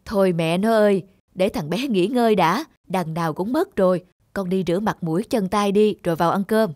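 A man voicing a gentle, patient farmer father in a cartoon, soothing and practical. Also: high-pitched, a little fast, close to an everyday voice. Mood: calm, caring. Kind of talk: animated drama dubbing. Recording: music under the voice.

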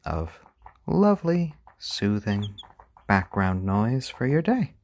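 A man hosting a casual live stream at home on his phone, speaking gently to viewers and to baby chicks. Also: lowish voice, sing-song, slow pace. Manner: gentle, soft-spoken, talks to chat and chicks. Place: home room. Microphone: handheld phone.

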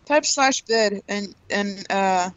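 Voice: medium pitch, slow, a bit bright, clear, resonant tone, muffled recording. A gamer on voice chat who teases and explains the game's commands. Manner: teasing, explains game commands. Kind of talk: casual chat while playing.

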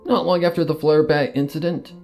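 A man's voice: a little high, steady tone, fast talker, darkish tone, faint music behind, natural voice. A man voicing a grieving, loyal female cartoon pony, solemn and confessional in a dramatic fan fiction reading. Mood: sorrowful.

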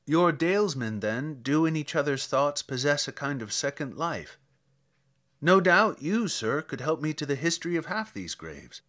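A man's voice: on the high side, very animated, normal speed, fairly bright tone. A man voicing a courteous, curious traveler in a dramatic verse reading, with a polite, inquiring manner. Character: courteous male stranger, a curious, questioning traveler.

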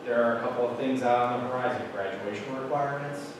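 A man's voice: medium pitch, fast talker. A middle-aged man at a podium, explaining policy updates to a school board in a casual, explanatory way with many fillers, recorded from across a large meeting room. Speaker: school administrator presenting to a board. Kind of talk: meeting presentation. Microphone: room mic from far off, standing at a podium. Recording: very echoey room, distant mic, noisy.

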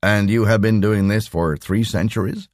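A man's voice: fairly low pitch, steady tone, moderate pace, a little mellow, no echo, studio quality, pitched above the performer's own voice, close to an everyday voice. A man voicing a polite, puzzled computer company doctor in an audiobook story, businesslike and questioning.

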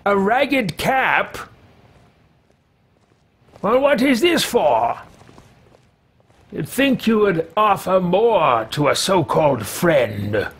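A man voicing a pompous, power-hungry fantasy mage with theatrical, drawn-out delivery in a video game roleplay. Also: high voice, very animated, a bit bright, husky, pitched above the performer's own voice, heavily acted. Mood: haughty. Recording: faint background noise, game ambience.

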